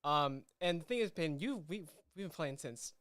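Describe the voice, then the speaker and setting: A man hosting a casual livestream interview, speaking in a halting, rambling way as he works toward his questions. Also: a little high, animated, a bit bright, clear, resonant tone. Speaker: host and game master.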